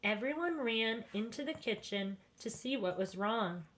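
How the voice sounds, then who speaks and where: A woman storyteller reading a children's bedtime story aloud in an expressive, deliberate way. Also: on the high side.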